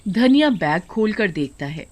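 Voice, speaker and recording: Woman narrator, medium pitch. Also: very animated, measured pace, darkish tone. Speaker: story narrator. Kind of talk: scripted narration of a moral tale.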